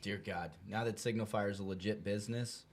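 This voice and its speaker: A man's voice: on the low side, fairly even intonation, brisk, slight background noise. An American man hosting a podcast with casual, joking banter and informal questions. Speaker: podcast host.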